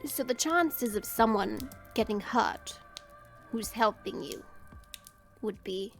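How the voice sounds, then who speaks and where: A woman acting a wise, composed old grandmother in a fantasy audio drama, speaking formally and with gentle firmness. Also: a little high, lively intonation, faint music behind. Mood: questioning. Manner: measured, formal, gently firm.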